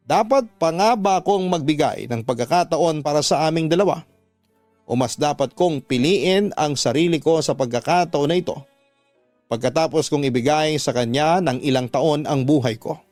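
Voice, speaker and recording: A man with a Filipino accent hosting a radio-drama letter show, speaking in an earnest, measured, sentimental way. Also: on the high side, darkish tone, no echo. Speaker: host reading listeners' letters. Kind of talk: radio drama narration and advice.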